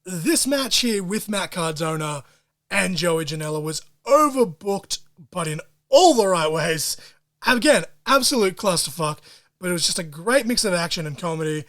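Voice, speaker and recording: A man hosting a solo podcast, talking in an excitable, profane way full of wrestling-fan slang. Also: high voice, moderate pace. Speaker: podcast host.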